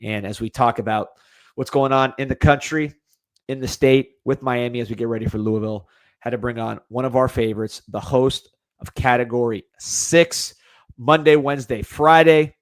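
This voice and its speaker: A man's voice: medium pitch, steady tone, moderate pace. Chatty male sports podcast host. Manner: loose, repeats words while thinking. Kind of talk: casual sports chat.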